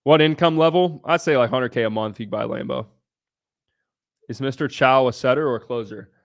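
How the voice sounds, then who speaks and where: A man's voice: mid-range voice, brisk, gravelly, dry recording, studio quality. A young man from Southern Ohio hosting a casual livestream, answering viewers' questions in a brash, blunt way and swearing freely. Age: young adult. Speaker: livestream host.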